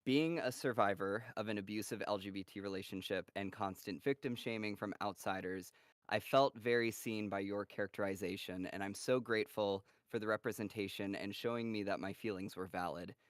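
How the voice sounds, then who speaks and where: A man's voice: on the low side, a little fast, dry recording, clean studio sound. A man hosting a casual livestream Q&A who laughs often and chats encouragingly. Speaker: host of the cast Q&A.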